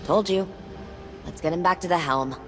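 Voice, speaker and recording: A woman's voice: lowish voice, normal speed, lightly acted. An actress playing a headstrong woman scientist adventurer in a video game, sardonic and casual. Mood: dry, determined. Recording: loud music under the voice.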